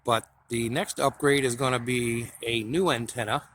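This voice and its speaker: A man casually showing off his radio gear in a relaxed, enthusiastic way, with many fillers. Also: mid-range voice, normal speed, a little hiss or hum. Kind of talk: casual show-and-tell vlog.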